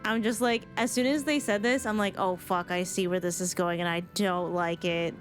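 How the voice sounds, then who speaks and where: A woman's voice: on the low side, steady tone, moderate pace, faint music behind. A woman giving casual live commentary on a video game, emotive and informal, rambling and sweary. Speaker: streamer reacting to game cutscenes.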